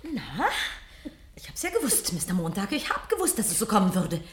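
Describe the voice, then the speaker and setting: A woman's voice: mid-range voice, huge pitch swings, fairly quick. An actress playing a haughty, easily offended married woman in a 1970s radio play, scolding in a huffy manner. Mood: scornful. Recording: echoey, slightly noisy old radio recording.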